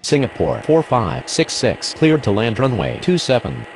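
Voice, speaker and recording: A man plays a courteous aviation radio voice for a game. His voice is creaky and fairly low with lively intonation, delivering procedural calls. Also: normal speed, slight background noise. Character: courteous male pilot and controller on radio. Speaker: pilot and air traffic controller radio voice. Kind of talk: scripted aviation radio phraseology.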